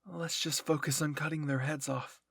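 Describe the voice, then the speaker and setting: A man's voice: a little high, steady tone, moderate pace, vocal fry, a bit bright, husky, no room sound, studio quality, heavily acted. Man voicing a fierce, vengeful young warrior woman. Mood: cold resolve.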